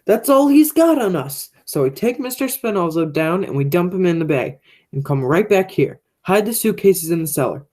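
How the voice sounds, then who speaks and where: A young woman playing a menacing, stubborn criminal brother, low-voiced. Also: sing-song, normal speed, dark tone. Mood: commanding, stubborn. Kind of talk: radio play acting, high school theatre.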